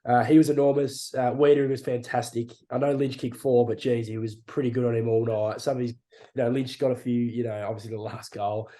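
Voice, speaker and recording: Mellow-voiced young Australian man, blunt. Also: mid-range voice, flat delivery, a little fast. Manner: frustrated, blunt, swears, uses "mate" and "reckon". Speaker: podcast co-host. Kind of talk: casual sports chat.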